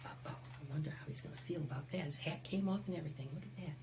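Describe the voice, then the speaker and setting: A woman's voice: low voice, fast talker, noisy background. A woman presenting a children's storytime, reading aloud expressively and chatting to young listeners with asides and questions. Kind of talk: read-aloud picture book storytime for preschoolers.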